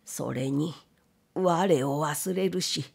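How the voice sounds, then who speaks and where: A stern old master of the house played by a woman narrator from a storybook. He speaks slowly and with authority, in old-fashioned elderly phrasing, brooding as he goes. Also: fairly high pitch, creaky, slightly rough, pitched below the performer's own voice, full character voice. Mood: musing. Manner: slow, old-fashioned elderly speech, commanding.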